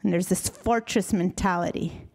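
A middle-aged woman from Argentina answering reflectively as a guest lecturer, earnest and measured, into a handheld microphone on an auditorium stage. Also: fairly low pitch, fairly even intonation, gravelly, a little mellow, rough recording.

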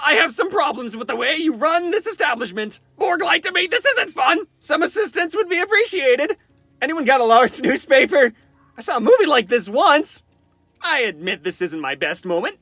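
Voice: high-pitched, pushed and bright, husky, dry recording, exaggerated voice. An amateur voice actor playing a cocky, goofy, sarcastic survivor in fan-made video game voice lines, flippant and panicky with theatrical delivery. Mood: panicked, whiny.

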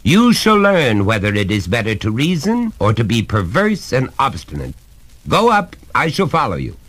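Stern pompous father, storybook villain, menacing lecturing delivery, raised swooping pitch, acted by a male narrator, music behind. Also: wildly swooping pitch, normal speed, fairly bright tone. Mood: angry, threatening. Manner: emphatic, lecturing, over-precise.

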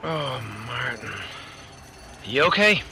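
An actor playing a building-site boss in a radio drama, talking over a two-way radio. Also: male voice, medium pitch, very animated, slow pace, vocal fry, exaggerated voice. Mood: businesslike. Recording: noisy walkie-talkie with site ambience.

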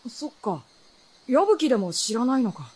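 A woman's voice: a little high, sing-song, normal speed, a little mellow, slightly rough. A woman playing a guarded, knowing adolescent boy in a Japanese fantasy radio drama, speaking in a boyish, matter-of-fact way. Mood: solemn, explaining.

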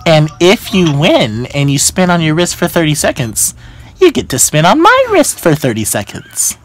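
A man's voice: fairly high pitch, huge pitch swings, moderate pace. A man in his twenties making casual prank phone calls, hesitant and falsely polite, with slangy wording. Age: 20s. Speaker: prank caller. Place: small bedroom, indoors. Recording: slight background noise, close home recording.

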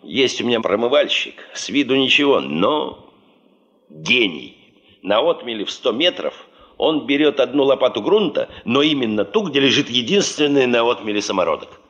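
An actor playing a proud, driven, stubborn expedition chief in a 1970s Soviet radio play, emphatic and self-assured. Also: male voice, medium pitch, lively intonation, normal speed, a bit bright, lo-fi sound, close to an everyday voice. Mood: passionate.

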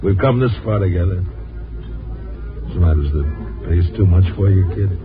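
A cocky, ambitious newspaper columnist in a 1950s radio drama. His low voice is breezy, confident and slangy. The recording is very muffled, with music behind. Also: expressive, moderate pace. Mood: cocky, self-assured.

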